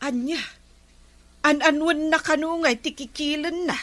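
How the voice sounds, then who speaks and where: A woman's voice: high voice, steady tone, unhurried, a bit bright, no room sound. A woman with an Ilocano accent playing a village captain's wife, a scolding, exasperated aunt in a comedy drama. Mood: dismayed.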